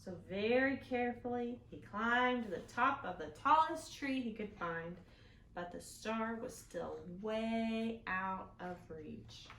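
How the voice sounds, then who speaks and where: A woman hosting a children's storytime, reading aloud expressively and pausing to explain things and ask the children questions. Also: fairly high pitch, fairly bright tone. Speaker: children's librarian, storytime host. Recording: roomy echo, some background noise.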